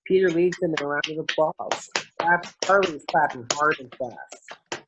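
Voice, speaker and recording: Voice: mid-range voice, moderate pace, lo-fi sound, background noise. A narrator reading out a play's stage directions as scripted narration.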